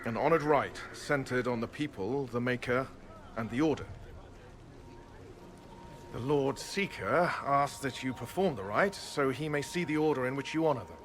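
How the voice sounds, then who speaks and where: Male actor as a dutiful knight for a fantasy game: grave, formal, mid-pitched, wary of orders, noisy ambience behind. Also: animated, moderate pace, lightly acted. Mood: earnest, formal. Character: earnest, duty-bound Templar knight, wary of his orders. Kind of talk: dramatic video game cutscene acting.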